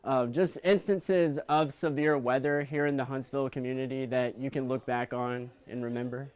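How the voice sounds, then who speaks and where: A man's voice: medium pitch, steady tone, normal speed, darkish tone, clean tone. A man hosting a casual interview as the interviewer. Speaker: interviewer, digital host.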